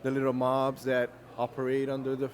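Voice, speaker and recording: A man's voice: mid-range voice, brisk. Cuban-born man telling his story. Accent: American English, no Cuban accent. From Cuba. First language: Spanish. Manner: relaxed storyteller, many fillers and restarts. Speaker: guest. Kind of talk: casual interview chat.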